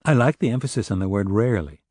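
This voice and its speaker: A man voicing a composed female police sergeant in an audiobook, speaking in a measured, direct way. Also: on the low side, expressive, warm tone, husky, dry recording, clean studio sound. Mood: probing. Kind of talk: audiobook character dialogue.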